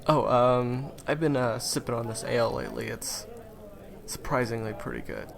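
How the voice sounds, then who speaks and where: A man's voice: medium pitch, fairly even intonation, normal speed, gravelly, crowd noise, natural voice. A man acting in an audio roleplay as a friendly, awkward, eager young guide to monster hunters, talking hesitantly and stammering to the listener. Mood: flustered, friendly.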